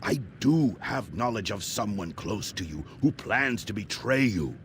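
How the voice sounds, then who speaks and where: A man voicing a grave old alien sage in a fantasy video game, gravelly, solemn and formal. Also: lively intonation, unhurried, a little hiss or hum. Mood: deferential, pleading. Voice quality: very deep, gravelly. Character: grave, deep-voiced old alien sage leading a secret rebellion.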